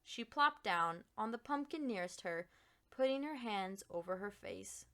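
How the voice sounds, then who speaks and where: Bright, animated woman storyteller, unhurried. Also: medium pitch. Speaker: picture book reader. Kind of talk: expressive read-aloud storytelling for children.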